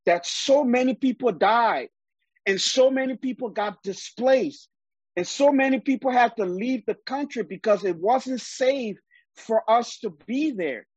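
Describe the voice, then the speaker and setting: A man's voice: very high voice, slightly rough, no room sound, dull audio. A man from Liberia preaching a sermon, emphatic and often repeating words while he gathers his thoughts.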